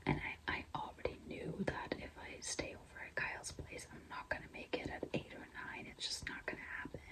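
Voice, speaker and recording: A young woman whispering in a breathy, mostly unvoiced voice, chatting confidingly and casually to viewers as a vlogger telling a personal story. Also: fairly quick, poor audio. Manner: confiding, conversational, frequent "like" and "you know".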